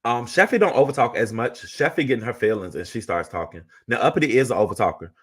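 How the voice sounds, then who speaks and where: A man with a deep voice hosting a casual chat, talking in a laid-back, rambling way. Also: expressive, fast, fairly bright tone, breathy edge. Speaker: host.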